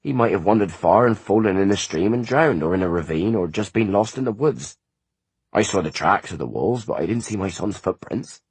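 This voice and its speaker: A sorrowful peasant mother, as a narrator puts her on, lifted high above his low speaking voice. She pleads softly, worn down by grief, with a husky edge. The storybook reading is dry and close. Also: expressive, brisk, no echo, exaggerated voice, low-quality recording. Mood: weary. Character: weary grieving peasant mother, humble.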